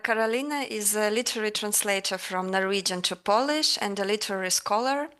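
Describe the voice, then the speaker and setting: Woman podcast host; polite, measured, mid-pitched. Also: bright, forceful tone. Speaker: podcast interviewer. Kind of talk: reading a guest introduction and asking questions.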